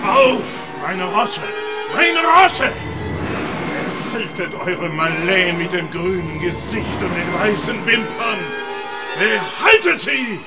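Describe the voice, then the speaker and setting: Brief: wrathful noble warrior, high-pitched, slow menacing declamation, radio drama. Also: male voice, very animated, over loud music, heavily acted. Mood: furious, threatening. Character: enraged warlike nobleman shouting threats at his hosts. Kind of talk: German radio play acting.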